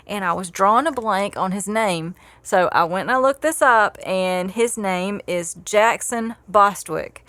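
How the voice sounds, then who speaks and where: A woman's voice: medium pitch, a bit bright, no echo. A middle-aged woman co-hosting a casual podcast, talking in a loose, giggly way. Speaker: podcast co-host.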